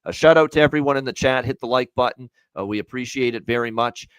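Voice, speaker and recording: A man's voice: medium pitch, quick pace, clear, resonant tone, no room sound, rough recording. A man hosting a sports betting show, going over picks and plugging the show in a chatty, upbeat way.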